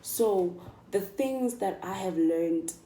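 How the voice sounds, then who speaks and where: South African woman, soft dark voice, echoey room. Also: medium pitch, expressive, slow pace, a little hiss or hum. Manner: emphatic, repeats phrases for stress, addresses listeners directly. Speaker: vlogger. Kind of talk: casual motivational chat to viewers. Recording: echoey room, distant microphone.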